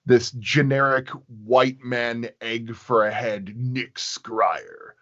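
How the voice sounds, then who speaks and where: A man chatting casually as an interview guest, halting and often circling back to rephrase his thoughts. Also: mid-range voice, steady tone, fairly bright tone, lo-fi sound. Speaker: podcast guest, sound designer.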